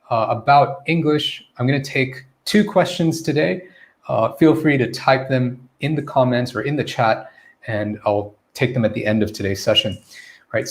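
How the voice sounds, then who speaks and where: Chatty man teaching a live English lesson. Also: mid-range voice. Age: adult, former teacher. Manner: chatty, self-correcting, loose and laughing. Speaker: English pronunciation coach. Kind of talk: casual live-streamed Q&A lesson.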